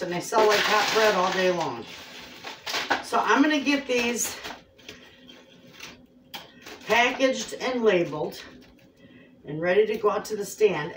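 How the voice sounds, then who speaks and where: A woman's voice: mid-range voice, lively intonation, normal speed. Chatty woman in an echoey kitchen. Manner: chatty, homey, addresses viewers as friends. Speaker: homestead cooking vlogger. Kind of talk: casual cooking chat to camera. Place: home kitchen, indoors. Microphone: far camera on a tripod. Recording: echoey kitchen from a distance, faint noise.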